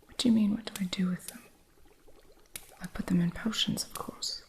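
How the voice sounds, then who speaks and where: A woman voicing an eccentric witch who runs a curio shop, talking in a soft, fond, matter-of-fact ASMR whisper. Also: mid-range voice, steady tone, moderate pace, close to an everyday voice. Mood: gentle whisper. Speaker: ASMR roleplay performer. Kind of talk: ASMR roleplay.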